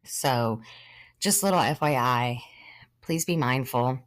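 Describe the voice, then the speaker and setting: A woman in her thirties, a podcast host sharing her own story in an earnest, measured way, sometimes short of breath and pausing. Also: very deep voice, dry recording. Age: 30s. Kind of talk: personal testimony monologue.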